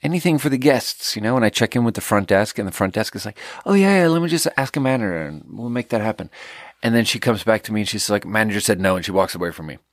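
A man's voice: on the low side, animated, quick pace, no room sound, studio quality. A man from Sweden, hosting a podcast, telling a rambling, profane story in casual chat and laughing at his own jokes. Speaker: podcast host.

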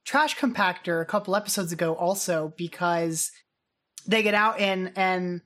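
A woman's voice: on the low side, moderate pace, fairly bright tone. A woman co-hosting a podcast in casual chat, agreeable and thinking aloud in short phrases. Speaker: podcast co-host.

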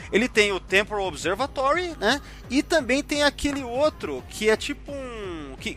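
A man's voice: sits high, pushed and bright. A man, co-hosting a podcast in loose, joking chat full of restarts and slang. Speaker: podcast co-host. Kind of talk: casual chat.

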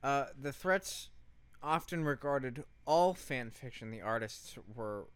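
A man's voice: medium pitch, animated, slow, clean tone, dry recording. A man co-hosting a comedy podcast, telling a researched story casually, with emphatic, profane delivery. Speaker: podcast co-host.

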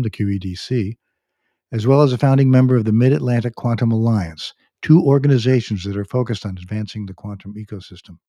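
A man's voice: mid-range voice, warm tone, no echo, studio quality. A man hosting a tech podcast, formally introducing his guest and asking long, polite questions. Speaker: podcast host. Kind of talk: interviewing a guest.

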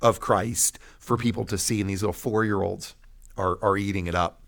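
Man, pastor, low voice, personal testimony, emphatic storytelling, deliberate pauses, repeated phrases, conversational. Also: fairly even intonation, creaky, fairly bright tone. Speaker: pastor and podcast guest telling his testimony.

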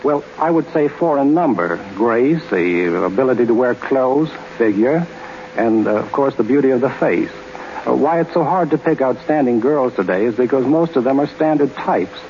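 A man giving measured, formal, slightly halting answers as a guest in a scripted 1930s radio interview. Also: medium pitch, lively intonation, darkish tone. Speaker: interview guest, fashion and model expert. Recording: muffled, noisy 1930s radio broadcast.

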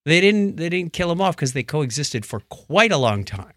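A man's voice: a little high, big pitch swings, normal speed, fairly bright tone, dry recording, clean studio sound. A man co-hosting a podcast in a casual, storytelling way, acting out bits of imagined dialogue as he recounts things. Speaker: podcast co-host. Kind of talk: casual chat, recounting documentaries.

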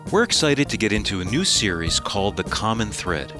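A man's voice: on the low side, sing-song, brisk, music in the background. A man co-hosting a radio program, reading introductions and news from a script as an announcer.

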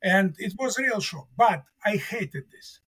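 Husky, high-voiced man, native Russian speaker. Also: expressive, measured pace, a bit bright. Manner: earnest, emphatic, repeats key words. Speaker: mathematics professor. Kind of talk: interview guest.

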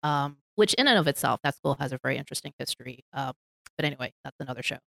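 Low-pitched american-accent woman, chatty guest, laughs a lot, dry mic. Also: lively intonation, very fast, gravelly, a bit bright, dry recording. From Hong Kong. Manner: chatty, laughs often between phrases. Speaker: podcast guest. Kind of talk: casual conversation.

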